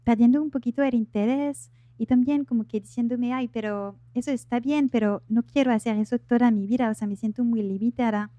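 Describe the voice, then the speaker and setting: A woman from France speaks as a podcast guest in a relaxed, mellow voice. Her French accent marks her as a native French speaker, and she pauses to find words. The sound is dry, with almost no room to it. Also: a little high, no echo. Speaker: podcast guest, life coach. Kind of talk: casual interview chat.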